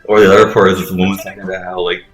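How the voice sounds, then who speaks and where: A man's voice: low voice, level tone, very fast, a bit bright, faint music behind. A man doing casual, rambling video game commentary, retelling things and acting out quotes. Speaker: let's play commentator.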